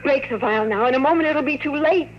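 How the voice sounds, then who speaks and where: A woman playing an earnest sci-fi heroine from old-time radio. Her voice is fairly high and theatrical, with an urgent, pleading way. The sound is muffled and slightly noisy. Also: fast talker, close to an everyday voice. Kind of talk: 1950s radio drama acting.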